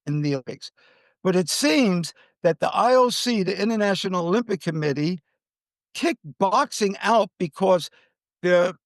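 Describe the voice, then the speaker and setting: A man's voice: high-pitched, animated, normal speed, no room sound. An American man hosting a podcast, giving conversational commentary on the news. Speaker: podcast host.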